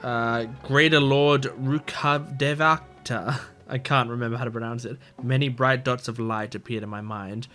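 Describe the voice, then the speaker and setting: A man's voice: mid-range voice, steady tone, moderate pace, few pauses, a bit bright. A man from Australia giving casual, joking reaction commentary over a video game, sometimes reading text aloud. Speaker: gaming streamer. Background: faint game music.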